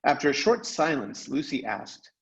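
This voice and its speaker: A man speaks as a teacher reading aloud to a class. His voice is mid-pitched with very lively intonation, on a slightly muffled recording. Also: normal speed. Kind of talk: storybook read-aloud and class announcements.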